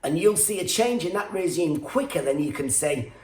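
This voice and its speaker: A man's voice: on the high side, animated, moderate pace, a little mellow, reverberant. A male stand-up comedian, born in Iran with Farsi as his first language, delivering a sarcastic rant that keeps slipping into silly put-on voices.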